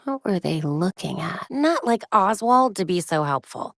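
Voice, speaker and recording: A young American vigilante detective in a video game, determined and caring. Her voice sits at a medium pitch with a focused, wry, conversational delivery. The sound is dry and close. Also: sing-song, unhurried, fairly bright tone, dry recording. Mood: focused, businesslike.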